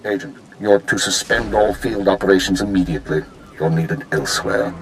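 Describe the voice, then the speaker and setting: An actor playing a stern Imperial intelligence superior in a video game, formal, curt and authoritative. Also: male voice, low voice, normal speed, a little hiss or hum, close to an everyday voice, poor audio.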